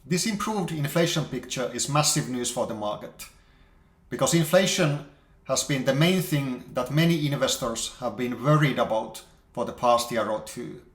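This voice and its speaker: A man giving a measured, explanatory investment presentation, walking through charts as an investor. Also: mid-range voice. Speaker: investor presenting market outlook. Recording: roomy, echoey sound.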